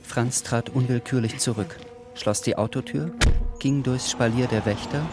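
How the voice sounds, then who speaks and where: A man narrating a literary radio drama in a measured, sober scripted style. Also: medium pitch, steady tone, heavy background noise.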